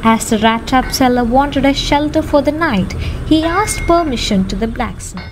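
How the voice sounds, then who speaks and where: A woman's voice: high voice, moderate pace. A young woman reading scripted narration for an amateur radio drama. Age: young adult. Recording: clearly noisy, ambient sound behind.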